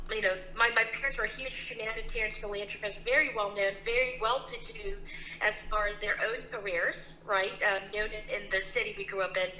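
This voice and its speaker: A woman's voice: on the high side, pushed and bright. A middle-aged woman from the Philippines, a guest telling her personal story in an earnest, casual way with many restarts. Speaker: guest, CEO and founder. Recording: roomy with faint noise.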